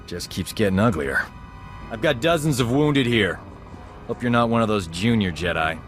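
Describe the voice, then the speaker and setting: An actor voicing a gruff male chief medic in a video game, giving blunt, clipped orders. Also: lowish voice, animated, normal speed, a bit bright. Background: music.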